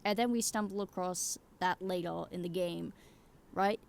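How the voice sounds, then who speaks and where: A woman's voice: fairly low pitch, moderate pace, gravelly, darkish tone, clear, resonant tone. A woman giving casual, rambling opinion commentary in an emphatic way, swearing casually and checking in with the listener. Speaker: YouTuber giving game opinions.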